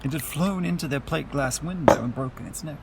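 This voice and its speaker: A man's voice: fairly high pitch, brisk, creaky, a little mellow, a little hiss or hum. Easygoing older man in mourning, played by an actor. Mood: reflective, gentle. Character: gentle older Irish-family relative in mourning, easygoing, wry. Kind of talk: radio play dialogue.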